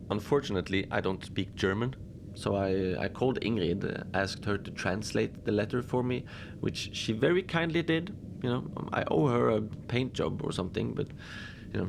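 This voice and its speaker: A man playing a chatty, wry manager of an Antarctic research facility in an audio drama, talking in a rambling, deadpan way with hesitant asides. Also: fairly low pitch, creaky. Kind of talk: conversational monologue, log-style audio drama. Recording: noisy background ambience.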